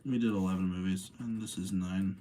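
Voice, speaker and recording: A man hosting a livestream, talking casually in an informal, rambling, slangy way with a husky voice. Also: deep voice, fairly even intonation, brisk, dark tone, slight background noise. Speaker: livestream host. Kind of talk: casual livestream chat and commentary.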